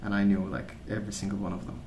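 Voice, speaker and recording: A young man rambling in a gentle, soft-spoken ASMR style, musing aloud without hurry. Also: fairly low pitch, completely flat delivery, racing. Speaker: ASMR video creator. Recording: echoey room, clear background noise.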